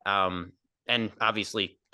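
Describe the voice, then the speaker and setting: A man's voice: fairly low pitch, fairly even intonation, takes it slowly, sharp tone, smooth, no room sound, clean studio sound. A young man, a stand-up comedian, talking casually on a podcast in a nasal voice, rambling with lots of fillers. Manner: rambling, filler-heavy, laughs easily.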